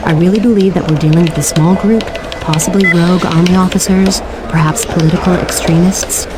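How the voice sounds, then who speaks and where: An actress as a brisk female military mission controller in a game cutscene. She is commanding and analytical, with a lowish voice. Clear ambient rumble sits behind her. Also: fairly even intonation, close to an everyday voice. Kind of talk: scripted game cutscene briefing.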